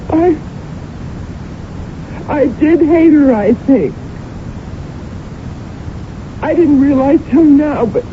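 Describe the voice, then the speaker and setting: Jealous defensive wife, theatrical radio drama, slow halting delivery, widely swooping pitch. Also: female voice, exaggerated voice. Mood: shaken, confused. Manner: theatrical, halting, emotionally intense. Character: anguished, defensive, jealous actress wife under accusation. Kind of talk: radio mystery drama acting. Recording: noisy, narrow-band old radio recording.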